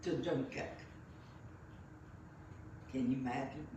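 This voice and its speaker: A very old woman from Belgium speaks in English, taking her time and pausing often between thoughts. Her voice sits back from the mic in a strongly echoing room. Faint background noise runs under her words. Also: very deep voice, fairly even intonation, warm tone. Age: 90s. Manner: unhurried, halting, many fillers and restarts. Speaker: interviewee. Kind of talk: reminiscing storytelling. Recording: echoey room, voice set far from the mic.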